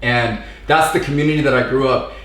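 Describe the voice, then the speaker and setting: A man in his thirties from Southern California chats as a podcast guest. He rambles easily, with filler words and slang, in a mid-pitched voice. The sound bounces around a noticeably echoey room. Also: brisk, a bit bright. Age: 30s. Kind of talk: casual conversation.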